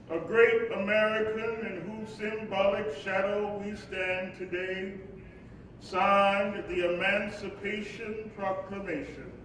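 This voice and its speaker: Civil rights preacher, eloquent, slow oratorical delivery, reflective, stage play, distant echoing hall. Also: male voice, high voice, fairly bright tone, exaggerated voice. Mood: solemn, soaring. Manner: measured, deliberate, preacherly cadence. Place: live performance in an echoing hall. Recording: very echoey hall, distant mic, faint noise.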